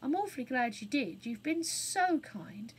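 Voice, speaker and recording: A woman's voice: high voice, very animated, measured pace, breathy edge, full character voice. A woman voicing a kind, bold little girl in a children's storybook, speaking in an earnest, polite, coaxing way. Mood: grateful.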